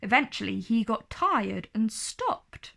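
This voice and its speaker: A woman storyteller reading a children's book aloud in an expressive, deliberate and dramatic narrating style. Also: fairly high pitch, creaky.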